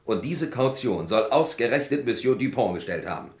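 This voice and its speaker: An actor playing an accused lab worker in a vintage radio crime drama, formal, hesitant and protesting. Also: male voice, medium pitch, expressive, normal speed, darkish tone, natural voice. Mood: defensive, explaining. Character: accused male lab worker, defensive and evasive. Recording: roomy old radio play recording.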